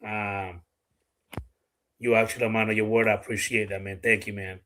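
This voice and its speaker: A man's voice: fairly low pitch, level tone, normal speed, fairly bright tone, slight background noise. A middle-aged man from the Dominican Republic with a Dominican accent, hosting a casual live chat, talking bluntly and swearing freely. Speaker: livestream host.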